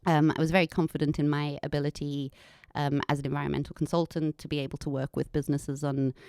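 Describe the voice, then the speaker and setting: A woman's voice: low voice, little pitch movement, fast talker, darkish tone, smooth, dry recording, clean studio sound. A woman in her thirties with an anglicized South African accent, telling her story candidly as an interview guest. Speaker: podcast guest, environmental consultant and business owner. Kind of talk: conversational interview, personal story.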